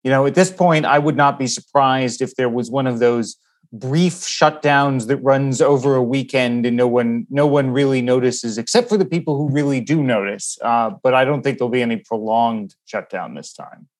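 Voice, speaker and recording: Male political reporter, medium pitch, talks through the news in a halting wordy way. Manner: halting, measured, wordy delivery. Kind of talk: conversational news analysis.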